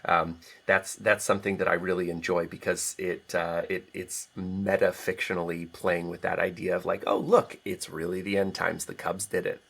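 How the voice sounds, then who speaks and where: A middle-aged American man with a low-pitched voice chats as an interview guest. He is reflective and talkative, speaking in a casual, conversational way. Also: flat delivery, normal speed, creaky, few pauses, a bit bright. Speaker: guest author.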